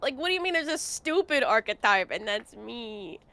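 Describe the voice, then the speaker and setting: A woman's voice: a little high, sing-song, sharp tone. A woman streamer with a sniffly cold, chatting playfully and giggling with friends on a voice call. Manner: giggly, playful, drawn-out silly emphasis. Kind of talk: casual chat while gaming.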